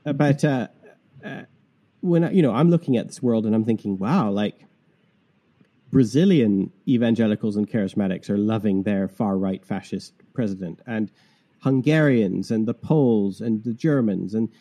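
Canadian guy with a bit of an english accent, really mellow dark voice, earnest podcast host thinking out loud. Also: lowish voice, moderate pace. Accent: Canadian with English influence. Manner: earnest, reflective, asks pointed questions. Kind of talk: conversational podcast discussion.

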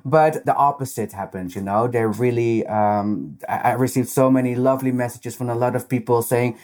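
A man's voice: mid-range voice, steady tone, moderate pace, darkish tone. A man with a Dutch accent, talking casually as an interview guest about drag and filling his sentences with "you know". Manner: chatty, frequent "you know" fillers.

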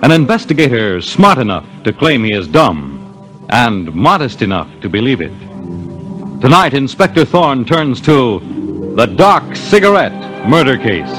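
A man's voice: mid-range voice, big pitch swings, unhurried. A man with an American accent narrating a 1950s radio detective mystery in a scripted, dramatic announcer's style. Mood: grave, dramatic. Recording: 1950s radio broadcast with music.

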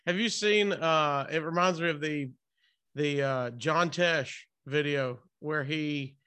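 A man's voice: fairly high pitch, takes it slowly, pushed and bright, smooth. A man hosting a casual interview, chatting in an informal, rambling, buddy-like way. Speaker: interview host.

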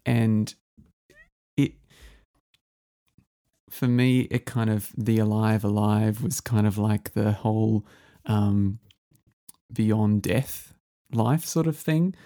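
A man's voice: fairly low pitch, slow, long pauses, warm tone. A man hosting a casual music interview, rambling and enthusiastic with lots of fillers. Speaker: podcast host.

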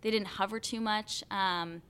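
A young American woman, an actor and singer, chatting as a podcast guest with lots of fillers and animated quotes. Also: mid-range voice, normal speed, clean tone. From United States. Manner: chatty, frequent "like" and "you know" fillers, animated quoting of others. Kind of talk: casual conversational interview.

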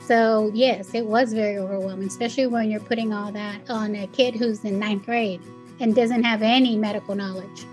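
A woman's voice: mid-range voice, steady tone, moderate pace, darkish tone, soft background music, rough recording. A middle-aged woman from Puerto Rico whose first language is Spanish, recounting her experience in an earnest, conversational way with occasional fillers. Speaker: foundation president and executive director. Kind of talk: interview, personal recollection.

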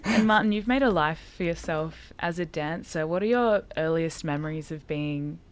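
A woman's voice: low-pitched, moderate pace, a little hiss or hum. Encouraging female interviewer. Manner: warm, encouraging interviewer who laughs along. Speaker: radio host. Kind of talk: conversational interview.

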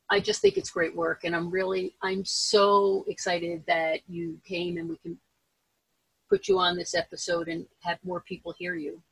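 A woman hosting a casual podcast, talking in a halting, rambling way and correcting herself as she goes. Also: lowish voice, creaky, husky, no room sound, poor audio. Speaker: podcast host.

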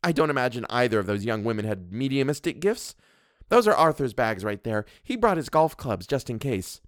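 A man's voice: medium pitch, very animated, fairly quick, dry recording, clean studio sound, close to an everyday voice. A man reading a story and voicing an imperious, fussy middle-aged woman who scolds and condescends. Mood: haughty scolding.

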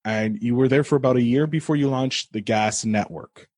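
A man's voice: medium pitch, normal speed, a little mellow, dry recording. A man hosting a podcast and chatting with a guest in an easygoing, agreeable way. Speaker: podcast host. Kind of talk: casual interview chat.

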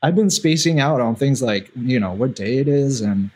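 A man's voice: medium pitch, expressive, normal speed, a little mellow, a little hiss or hum. A man whose first language is Russian, chatting casually as a podcast guest in a rambling, self-deprecating way with lots of fillers. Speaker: podcast guest, visual artist.

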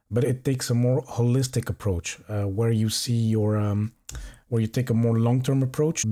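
A man hosts a relaxed podcast interview, his voice soft and mellow in tone. He speaks in a halting way, with frequent filler sounds and restarted phrases. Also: mid-range voice. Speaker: podcast host. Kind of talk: casual interview hosting.

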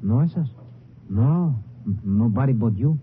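A man's voice: mid-range voice, animated, slow. An actor playing a timid Mexican man in a western radio drama, stammering and deferential, with a Mexican accent. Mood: nervously polite. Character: frightened, submissive Mexican man living alone in shack. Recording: tinny, faintly noisy old radio recording.

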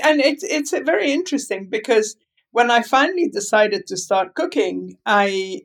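A woman in her seventies from Lebanon, talking at length as an interview guest, meandering through her answers with many fillers and restarts. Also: medium pitch. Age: 70s. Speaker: guest, food writer. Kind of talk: interview over a call.

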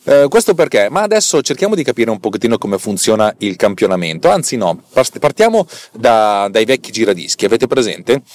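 A man's voice: fairly low pitch, sing-song, no room sound. A man hosting a podcast, explaining technical things casually and off the cuff, often repeating words and correcting himself. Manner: rambling, halting, self-correcting, repeating words. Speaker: podcast host. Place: inside a car.

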